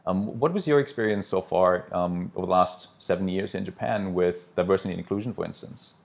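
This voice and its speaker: A man hosting a business interview as a consultant, asking fluent, brisk questions. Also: low voice, creaky.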